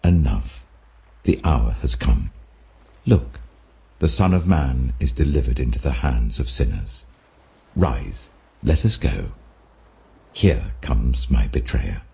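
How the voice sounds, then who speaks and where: A man with a British accent voicing a grave, sorrowful but commanding male teacher in a scripture reading, husky and weighty. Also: animated, dark tone, full character voice. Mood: grave urgency. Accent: British Received Pronunciation. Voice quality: deep, husky. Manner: slow, weighty, admonishing.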